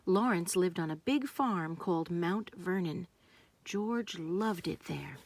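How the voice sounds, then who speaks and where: A woman narrating a children's storybook, reading aloud in simple, deliberate phrases meant for young listeners. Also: fairly low pitch, animated, slight background noise.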